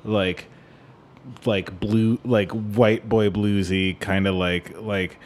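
A man co-hosting a podcast, talking casually about music in a halting way as he searches for words. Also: fairly low pitch, flat delivery. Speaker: podcast co-host. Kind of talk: casual music discussion with some theory.

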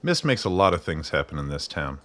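A man's voice: very deep voice, sing-song, brisk, fairly bright tone, full character voice. A man playing an easygoing, watchful grill cook in a radio play, casual and terse. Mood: friendly.

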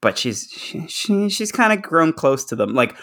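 A man's voice: fairly high pitch, very animated, moderate pace, a bit bright. A man co-hosting a podcast in casual recap chat, rambling and joking with blunt swearing. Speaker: podcast co-host.

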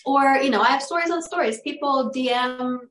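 A woman's voice: sits high, moderate pace, a bit bright, slight background noise. A young woman, a guest on an interview show, chatting casually in an upbeat way and telling stories. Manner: upbeat, laughs easily, storytelling. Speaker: guest, actress and model. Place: room with audible airplane noise outside. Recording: echoey room.

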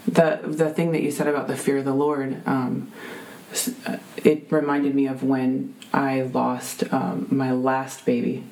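A woman with a deep voice, telling a heartfelt faith testimony as a guest, full of ums and restarts. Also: little pitch movement, unhurried, a little mellow, rough recording. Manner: earnest, many fillers and restarts.

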